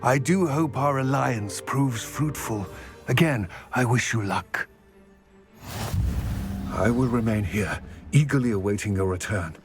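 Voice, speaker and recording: A man voicing a solemn, measured male goblin ally in a video game, speaking in a formal, grave and deliberate way with a husky voice. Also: medium pitch, music in the background, natural voice.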